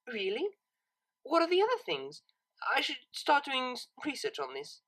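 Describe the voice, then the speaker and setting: A woman's voice: high voice, huge pitch swings, normal speed, fairly bright tone, pitched above the performer's own voice, exaggerated voice. A woman voicing a refined, naive young cellist pony in an audio story, with a proper, tentative manner. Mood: eager, grateful. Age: young adult.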